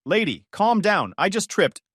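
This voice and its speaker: A man's voice: high-pitched, sing-song, measured pace, fairly bright tone, slightly rough, no room sound, voice pushed up, exaggerated voice. A defensive young man whines and protests in a raised voice, as a male narrator puts him on. The sound is dry and close. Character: defensive, whiny young man caught trespassing.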